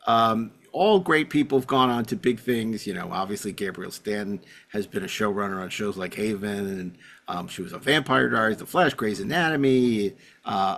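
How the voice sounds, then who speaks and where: A man hosting unscripted, introducing things effusively and enthusiastically, with a halting, filler-heavy delivery. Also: fairly low pitch, fairly bright tone. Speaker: podcast and convention panel host. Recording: echoey hall with long reverb.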